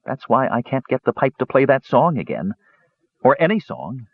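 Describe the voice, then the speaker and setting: A man's voice: mid-range voice, big pitch swings, moderate pace, breathy edge, no room sound, pitched above the performer's own voice. A man voicing an earnest, mischievous young male kender who talks eagerly and pleads to be believed. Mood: wistful. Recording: dull dry recording.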